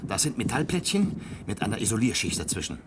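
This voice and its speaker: A man's voice: lowish voice, very animated, fast talker. A man acting a proud, defiant inventor in a German science-fiction radio play, lecturing in a pedantic, impassioned way. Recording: very noisy old radio play recording.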